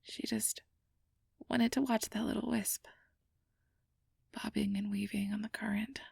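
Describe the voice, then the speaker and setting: A woman playing a nervous, earnest young fantasy researcher in an ASMR roleplay, rambling and self-doubting, with soft breathy delivery. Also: mid-range voice, flat delivery, unhurried, no echo, heavily acted. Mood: wistful, soft. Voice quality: breathy, often dropping to a soft whisper. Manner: flustered, stammering, over-explaining, self-doubting.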